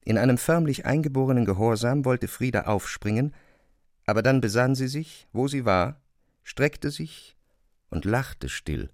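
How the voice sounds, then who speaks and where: A man narrating a literary radio drama in a measured, serious manner. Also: medium pitch, expressive, no echo, studio quality.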